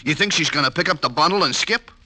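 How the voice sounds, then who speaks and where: An actor playing a slow-witted, quick-tempered thug with rough slangy talk, in a 1940s radio drama. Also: male voice, a little high, lively intonation, bright, forceful tone, heavily acted. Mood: thuggish. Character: dim-witted hotheaded thug man.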